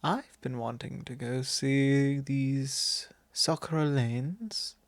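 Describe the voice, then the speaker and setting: A man voicing a doting, possessive vampire lord in an ASMR roleplay, with soft, genteel phrasing that slips into breathy whispers, close on the mic. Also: medium pitch, dry recording. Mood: courteous, inviting. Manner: soft, drawn-out, genteel old-fashioned phrasing. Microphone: close microphone.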